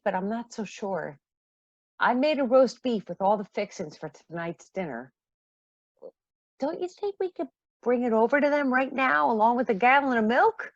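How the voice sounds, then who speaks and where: A woman's voice: a little high, no echo, lo-fi sound. A woman acting a caring, middle-aged mother in a radio play. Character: caring, generous mother, a singer struggling with money.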